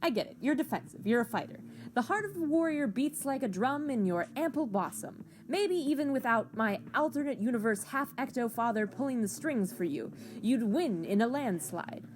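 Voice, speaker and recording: Woman playing brash, high-voiced flirty cat lady. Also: lively intonation, normal speed, a little hiss or hum, natural voice. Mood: teasing, insistent. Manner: teasing, colloquial, theatrical. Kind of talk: amateur audio comic drama.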